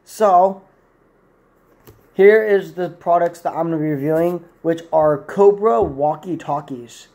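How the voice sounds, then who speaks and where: A young man with a slightly high voice reviews a product off the cuff. He talks casually to a camera in a plain indoor room, rambling and correcting himself as he goes. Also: measured pace, creaky, darkish tone. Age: 20s.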